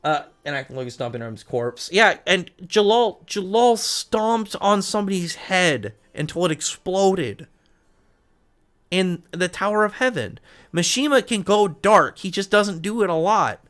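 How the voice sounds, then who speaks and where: Male livestream host, slightly high voice, loose rambling chat, repeats himself and drifts into asides. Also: animated, unhurried, a bit bright. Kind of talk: casual chat with viewers, reading comments.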